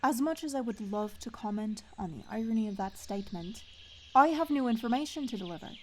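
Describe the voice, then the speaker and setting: A woman's voice: on the high side, lively intonation, fairly quick, a little mellow, slight background noise, natural voice. A woman playing a stubborn, sardonic blacksmith's daughter in a fantasy audio roleplay, wry and casual in manner. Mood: defiant, sardonic.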